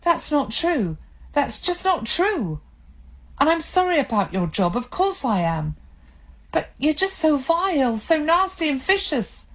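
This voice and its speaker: A tearful wife, hurt and reproachful as she argues with her husband, voiced by a woman reader. Her voice rides high and tight with strain. An audiobook scene. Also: lively intonation, normal speed, darkish tone.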